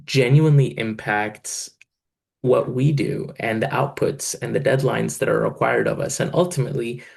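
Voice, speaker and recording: A man's voice: mid-range voice, moderate pace, creaky. A man from Egypt, in his thirties, a church financial controller and part-time pastor, answering interview questions casually with many fillers. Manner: relaxed, many fillers, laughs between phrases.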